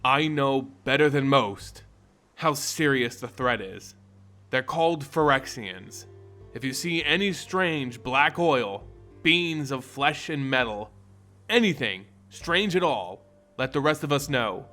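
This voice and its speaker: A grave, weary wizard from a fantasy tale, acted out by a male narrator, solemn and measured as he warns an ally. The voice is bright and sits over faint music. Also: medium pitch, lively intonation. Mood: grave warning. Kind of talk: dramatic fantasy story narration dialogue.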